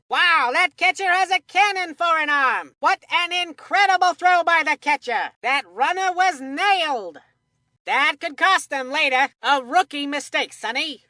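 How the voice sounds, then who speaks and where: An actor voicing an excitable, folksy cartoon commentator calling kids' baseball with corny, punchy one-liners. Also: very high voice, no room sound, clean studio sound, full character voice. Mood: excited, amazed, then wry, dismayed. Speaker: sports commentator.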